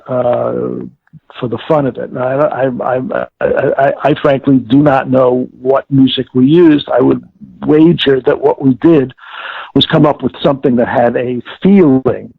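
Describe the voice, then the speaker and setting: An older man being interviewed, explaining and reminiscing in a deliberate, halting way with formal wording and self-corrections, in a gravelly, husky voice. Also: medium pitch, fairly even intonation. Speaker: interviewee, former audio producer-director. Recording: tinny phone-like line with room echo.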